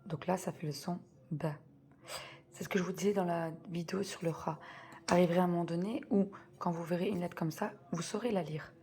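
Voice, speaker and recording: A woman's voice: lowish voice, fairly even intonation, fairly quick, dark tone. A woman teaching a beginners' reading lesson, patiently spelling out sounds step by step and repeating key words. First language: French.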